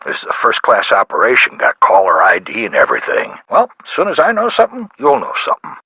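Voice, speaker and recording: A gravelly, animated small-town police detective. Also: male voice, fairly low pitch, fast talker, dry recording, heavily acted. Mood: wry, businesslike. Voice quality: gravelly, husky. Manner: folksy, clipped sentences, wry. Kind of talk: video game voice acting. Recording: band-limited dry phone-style sound.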